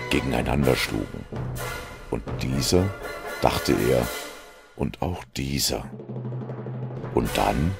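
A man narrating a dark audio story from a script, in a grave, deliberate manner. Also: extremely low pitch, creaky.

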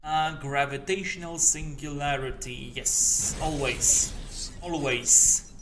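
A man's voice: on the high side, steady tone, slow pace, noisy background. A young man from Poland, a game developer chatting casually as he plays and explains the game. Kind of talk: casual live commentary over gameplay. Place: indoor room. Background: game music and effects.

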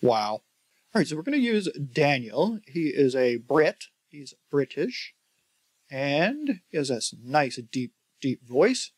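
Man, forties, American accent, conversational tutorial host, emphatic, slightly high pitch, dry close sound. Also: very animated, slow, darkish tone, dry recording. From United States. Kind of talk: casual software tutorial.

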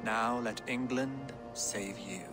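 An actor playing a humbled but lofty, devout king in his thirties in a video game, formal and deliberate. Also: mid-range voice, natural voice. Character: pious, humbled Saxon king, composed, lofty, adult man. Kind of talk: video game cutscene acting. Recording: music behind the voice.

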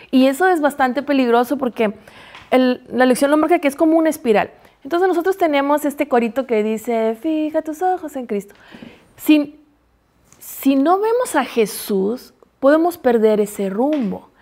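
A woman's voice: sits high, a little mellow. A woman in her forties with a Mexican accent, a university teacher talking animatedly in a Bible study panel on a TV studio lapel mic. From Chiapas, Mexico. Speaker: university health sciences teacher.

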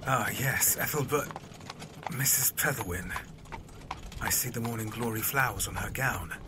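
A man playing a wistful, gentlemanly young musician in an audio drama, with a husky voice. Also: mid-range voice, normal speed, noisy background, close to an everyday voice. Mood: intrigued.

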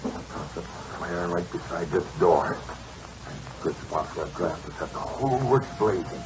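An actor playing a crooked, desperate racket boss in a 1940s radio crime drama. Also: male voice, low-pitched, animated, moderate pace. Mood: tense, scheming. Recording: noisy vintage radio recording.

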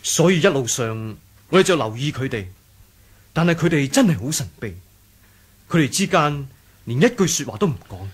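Husky, animated Cantonese rebel fighter. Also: male voice, fairly high pitch, normal speed, long pauses. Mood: earnest, watchful. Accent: Hong Kong Cantonese. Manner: earnest, vivid storytelling. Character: loyal, watchful rebel fighter giving a report. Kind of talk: 1980s radio drama acting.

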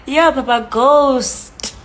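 A woman's voice: high voice, drawn-out words. Playful South African woman on a phone live. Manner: playful, chatty, slips into whispers. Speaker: live-stream host reading comments. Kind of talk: casual live-stream chat. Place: small room indoors. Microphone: phone at arm's length.

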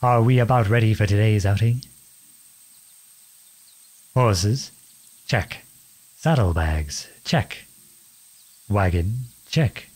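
A man acting a charming, teasing young outlawed prince in an ASMR-style romance roleplay, with a husky voice and a courtly, playful manner. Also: fairly low pitch, slow pace, pauses often, darkish tone. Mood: brisk, commanding. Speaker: audio roleplay performer.

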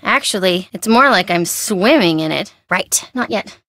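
An actress playing a perky, nervous young woman sidekick in a video game, with an American accent and a chatty, wry, expressive delivery. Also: medium pitch, unhurried, dry recording. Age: young adult. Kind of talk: scripted video game dialogue, phone-call chatter.

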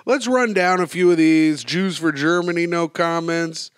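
A young man with a somewhat high voice talks his way through a solo podcast episode. He is rambling and self-mocking, with a stuffed-up, sniffly nose. The sound is dry and close, with no room around it. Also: a little slow, smooth, no room sound. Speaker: podcast host.

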